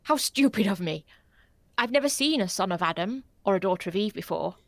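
A high, airy little faun fusses and bows with old-world courtesy, nervous and eager to please. The voice sits far above a plain speaking voice, bouncing and lively. It is a storybook reading in a dry, close space. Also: male voice, brisk, creaky, no room sound. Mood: fussy polite. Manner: courtly, fretful, old-fashioned politeness. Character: fussy, polite male faun, shy and nervous. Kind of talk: audiobook character voice.

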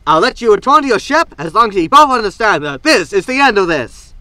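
Man playing a high-voiced, flustered schemer. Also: big pitch swings, fairly quick, pushed and bright, dry recording, exaggerated voice. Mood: scornful. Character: flustered, scornful male media reporter, caught scheming. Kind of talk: science fiction sitcom audio drama.

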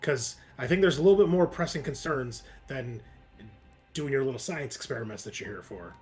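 A man's voice: mid-range voice, a little fast, faint music behind, natural voice. A man playing a harried, defensive prison warden in a tabletop game, curt, bossy and profane. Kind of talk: tabletop role-play acting.

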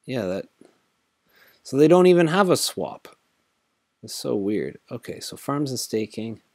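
A man's voice: mid-range voice, big pitch swings, a little slow, darkish tone, no echo, studio quality. Tired man rambling through a tutorial. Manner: rambling, thinks aloud with many fillers. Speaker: crypto tutorial host. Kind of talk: casual screen-recorded walkthrough.

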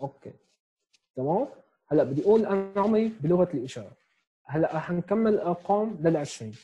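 Young male tutor, Syrian accent, mellow breathy tone, patient explainer recorded at home on a headset. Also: high-pitched, expressive, quick pace, dull audio. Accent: Syrian Arabic. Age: 20s. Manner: explains step by step, checks in with "okay?" often. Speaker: sign language teacher. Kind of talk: online lesson. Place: small room at home, indoors. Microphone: earphone headset mic on a video call.

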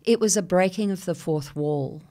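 An older Australian woman with a low, deep-set voice talks with animated energy, gesturing as she speaks. She is a filmmaker appearing as a guest, recorded close on a broadcast mic in a small studio. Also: moderate pace. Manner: animated, gestures while talking. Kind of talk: casual interview chat. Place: small studio set made up as a video store. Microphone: broadcast mic on a boom arm.

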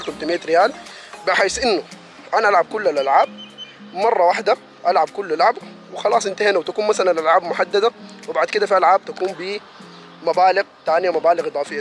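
A man vlogs about a city event. He chats casually and enthusiastically, speaking straight to his viewers. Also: sits high. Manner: rapid, enthusiastic, addresses viewers directly. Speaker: vlogger reviewing a city event.

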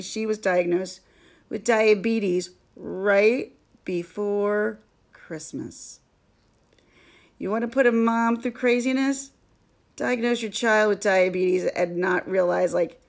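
A woman in her thirties, a vlogger and mother, chatting casually in a scratchy, hoarse voice, rambling and laughing often. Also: mid-range voice. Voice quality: scratchy, gravelly voice. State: hoarse from allergies. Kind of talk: casual chat to camera.

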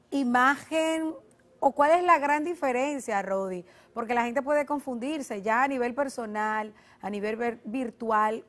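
A young woman hosting a television interview from a studio, asking questions in a lively manner. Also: a little high. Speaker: TV show host.

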